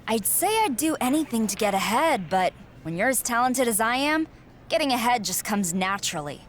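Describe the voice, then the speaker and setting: A woman's voice: fairly high pitch, animated, normal speed, a little hiss or hum, natural voice. An actress playing a cocky, ruthless, self-absorbed female wrestler in a video game, smug and self-assured. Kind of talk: scripted video game cutscene dialogue.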